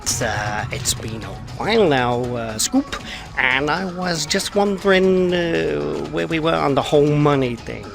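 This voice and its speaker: A desperate washed-up showman in a game cutscene. His high voice cracks with gravelly creak as he wheedles nervously, music playing behind. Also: animated, measured pace. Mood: nervous halting. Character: desperate, pleading, washed-up small-time male showman. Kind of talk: video game cutscene acting.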